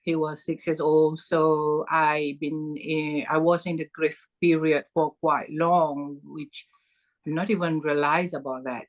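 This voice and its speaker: A middle-aged woman from Malaysia talks with a Malaysian accent. Her voice sits low and her pace is slow. She speaks in halting stretches, filling gaps with small sounds and starting phrases over. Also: flat delivery, poor audio. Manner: halting, many fillers and restarts. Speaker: podcast guest. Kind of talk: personal story in an interview.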